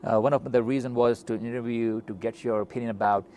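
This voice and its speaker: A middle-aged man with a Pakistani accent, interviewing and telling stories in a conversational, animated way. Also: medium pitch, fast talker. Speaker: interviewer, physician hosting a talk. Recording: noticeable steady background noise.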